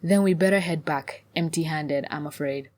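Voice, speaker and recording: A woman voicing a cautious, diplomatic middle-aged tribeswoman in a fantasy audiobook, measured and motherly with some exasperation. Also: sits low, darkish tone, natural voice. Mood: patient, weary.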